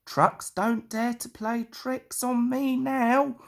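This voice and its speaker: A woman voicing a cheeky, cocky young male steam engine with a lordly, sharp, calling manner, in a storybook reading. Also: on the high side, steady tone, slow, gravelly, voice pushed up.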